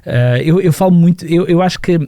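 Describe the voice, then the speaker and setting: A man's voice: fairly high pitch, a little fast, warm tone, no room sound, clean studio sound. A man from Portugal with a European Portuguese accent, hosting a business podcast in a chatty, personal way with many fillers. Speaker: podcast host.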